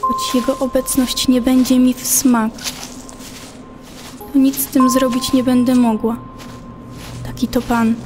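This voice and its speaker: A young woman giving a measured, deliberate dramatic recitation of poetry in an audio play. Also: sits high, level tone, music in the background.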